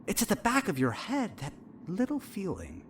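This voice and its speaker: A man's voice: sits high, wildly swooping pitch, normal speed, slight background noise. A man playing a smug, all-knowing therapist in an audio drama, asking leading questions in an insinuating, theatrical manner. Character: probing, needling male therapist, smug and eerily all-knowing. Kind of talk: audio fiction dialogue.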